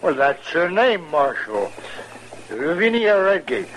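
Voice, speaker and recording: An actor in a 1950s radio drama playing a spiteful frontier husband who stammers and gloats in a slow rustic drawl. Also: male voice, a little high, big pitch swings, fairly bright tone, slight background noise, heavily acted, rough recording. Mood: sly, insistent. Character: sly, spiteful frontier husband, middle-aged man.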